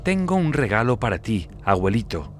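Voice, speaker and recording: A man's voice: lowish voice, very animated, normal speed, music in the background, voice pushed up. A man voicing a cruel, mischievous little boy with false sweetness in a horror audiobook. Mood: sly, sweet.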